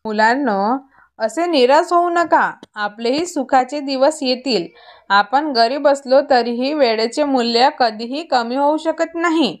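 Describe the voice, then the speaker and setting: A woman playing a gentle village mother and wife in a cartoon story, supportive and encouraging. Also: high voice, a little slow. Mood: comforting. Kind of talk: scripted cartoon story dialogue.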